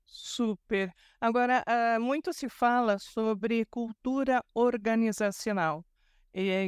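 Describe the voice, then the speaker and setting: Crisp-voiced woman host. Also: on the high side, no room sound, studio quality. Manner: conversational, with short affirmations. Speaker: podcast host. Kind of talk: interview questions.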